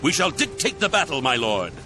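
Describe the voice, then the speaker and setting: Bold elven noble, creaky, rousing, hugely varied pitch, music behind. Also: male voice, big pitch swings, fairly quick. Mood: boastful. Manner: rousing, declamatory. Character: proud, bold elven noble war leader. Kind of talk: fantasy game voice acting.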